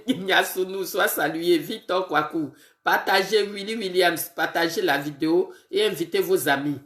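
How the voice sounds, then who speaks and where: Slow, congested woman livestreaming. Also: on the low side, a bit bright, roomy sound. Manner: greets viewers one by one, slow and halting with fillers. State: congested with a cold, sniffly and tired. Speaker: political live streamer. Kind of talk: casual live video chat greeting viewers.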